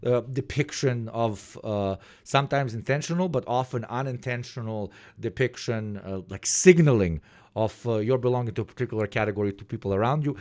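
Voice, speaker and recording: A man with an Eastern European accent, reacting to a video in casual, profane, rambling commentary with sudden animated bursts. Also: medium pitch, a little fast, no room sound, studio quality.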